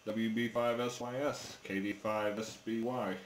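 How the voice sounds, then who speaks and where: A man chatting casually over a radio link as an amateur operator, speaking in a hesitant, halting way. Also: on the low side, level tone, a little hiss or hum. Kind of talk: casual ham radio chat.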